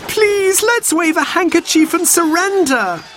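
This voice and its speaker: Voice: very high-pitched, animated, a little slow, a bit bright, slight background noise, pitched above the performer's own voice, exaggerated voice. A narrator voicing a timid, earnest little girl in a storybook, with a plaintive manner. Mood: pleading.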